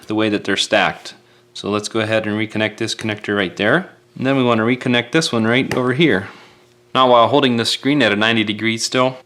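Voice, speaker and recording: A man's voice: mid-range voice, fairly bright tone. A man giving casual, step-by-step hands-on repair instructions in a plain-spoken, careful, folksy way. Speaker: repair tutorial presenter.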